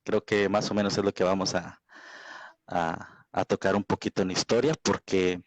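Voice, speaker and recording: A man's voice: deep voice, flat delivery, moderate pace, no echo. A man speaking off the cuff in a religious debate, hesitant and often checking for agreement. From Guatemala. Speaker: evangelical debater. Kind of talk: extemporaneous religious debate introduction.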